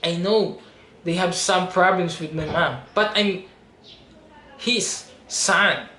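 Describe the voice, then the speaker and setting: A man's voice: sits high, slow pace. A man in his twenties with a Filipino accent, making an earnest, pleading personal appeal to camera. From Philippines. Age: 20s. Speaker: reality show audition applicant. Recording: roomy echo with steady background noise.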